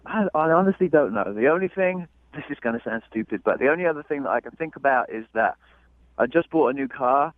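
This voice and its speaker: A man's voice: medium pitch, lively intonation, fast, no echo. A man with an English accent chatting casually as a radio caller, a bit hesitant and repeating himself. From England. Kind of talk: casual phone chat. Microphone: telephone. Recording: thin narrowband phone line, dry.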